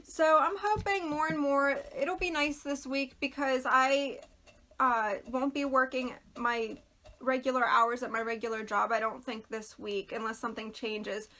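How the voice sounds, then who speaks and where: A woman's voice: high-pitched, steady tone, a little slow, pushed and bright. A woman hosting a casual craft livestream, chatting loosely and greeting viewers by name, her voice a little worn and throaty. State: losing her voice, clearing throat. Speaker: craft video host.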